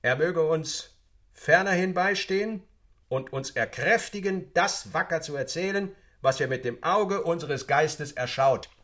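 A man playing a hearty, solemn gentleman who declaims like a toastmaster in a literary audio drama. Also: on the high side, unhurried. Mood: festive, solemn. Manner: declamatory, formal.

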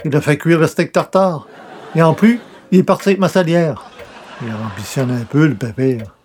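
A man plays a mild Québécois columnist in an old-time radio comedy. His voice sits a little high, with faint noise on the recording. He is polite and hesitant, with a dry, long-suffering irony. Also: moderate pace, close to an everyday voice. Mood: exasperated, resigned. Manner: polite, dryly ironic, hesitant. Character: polite, wry, put-upon newspaper columnist, straight man. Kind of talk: comedy radio serial acting in 1940s manner.

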